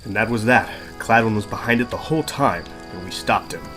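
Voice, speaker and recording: A steady, big-hearted adventurer leading his party played by a man. The voice sits fairly low, with light music behind it, in a fantasy audio play. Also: a little fast. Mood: easygoing. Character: steady, generous male adventurer, leader of the party. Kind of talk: fantasy audio drama acting.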